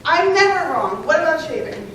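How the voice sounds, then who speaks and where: Woman playing a high-voiced contrary newborn baby, echoey. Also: big pitch swings, fairly quick, a bit bright. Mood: argumentative. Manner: questioning, argumentative. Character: contrary, questioning newborn baby, easily alarmed. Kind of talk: readers theater comedy dialogue on stage. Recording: echoey hall, far mic, clear noise.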